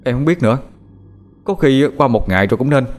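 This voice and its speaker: A man's voice: mid-range voice, expressive, a little fast, pitched above the performer's own voice, close to an everyday voice. A man voicing a cowardly, clingy younger brother with a frantic, whiny, pleading manner in a horror story. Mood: anxious. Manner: wailing, pleading.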